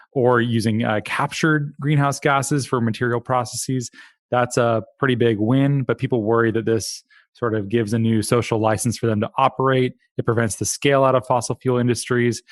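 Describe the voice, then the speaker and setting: A man's voice: mid-range voice, dry recording. A man hosts a podcast interview with enthusiasm, joking with his guest and working through long questions. His voice sits in a middle range, and it is recorded close and dry, with no room around it. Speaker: podcast host. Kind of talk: conversational interview.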